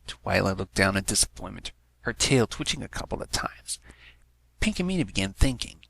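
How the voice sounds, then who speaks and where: Low, crackly male story narrator. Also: sing-song, normal speed, vocal fry, fairly bright tone. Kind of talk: scripted narration of fan fiction.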